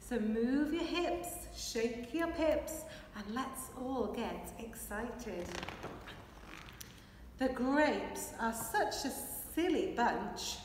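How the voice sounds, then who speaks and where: High-voiced woman reading slowly in echoey hall. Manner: expressive, measured rhythmic delivery while signing. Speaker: children's storyteller. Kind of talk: children's picture book read-aloud. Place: large hard-walled hall with brick and plaster. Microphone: camera a few metres away. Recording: echoey hall, distant microphone, faint noise.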